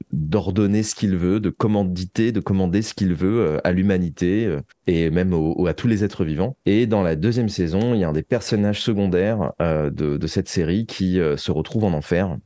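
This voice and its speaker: A man with a deep, soft-edged voice. He tells anecdotes as an interview guest, pausing and rephrasing as he circles toward his point. The sound is dry, with little room. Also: no echo. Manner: hesitant, digressive, with frequent self-rephrasing. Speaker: interview guest, author of audio fiction. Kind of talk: conversational storytelling in an interview.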